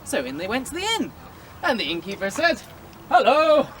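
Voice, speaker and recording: A storyteller narrating a pirate tale live outdoors, quick and playful. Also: mid-range voice, very animated. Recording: outdoor street noise.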